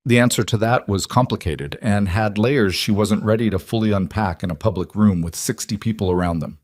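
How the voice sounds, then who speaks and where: A man reading a dramatic western story as scripted narration, measured and deliberate in his delivery. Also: lowish voice, darkish tone, dry recording, clean studio sound. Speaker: audiobook-style story narrator.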